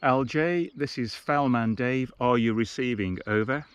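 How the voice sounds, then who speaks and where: A man's voice: mid-range voice, measured pace, very noisy. A man giving a casual, practical outdoor field-test commentary, speaking plainly as a hiking vlogger. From United Kingdom. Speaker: hiking vlogger and gear tester. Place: outdoors on open hills.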